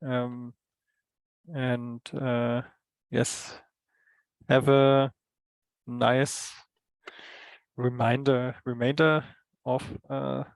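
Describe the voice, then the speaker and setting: A man, the session's instructor, wrapping up in a halting way and searching for his words. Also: medium pitch, level tone, vocal fry, smooth, no room sound, low-quality recording. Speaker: workshop instructor.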